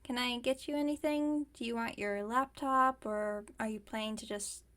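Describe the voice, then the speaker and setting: A woman voicing a caring, wry young werewolf roommate in a casual, teasing, gently bossy ASMR roleplay monologue. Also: a little high, normal speed, lightly acted.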